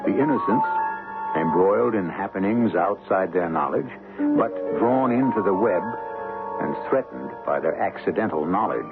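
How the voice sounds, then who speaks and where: A man hosting and narrating a radio drama in an ominous, deliberate, theatrical style. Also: deep voice, lively intonation. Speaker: host and narrator. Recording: thin, phone-like old radio recording. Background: music.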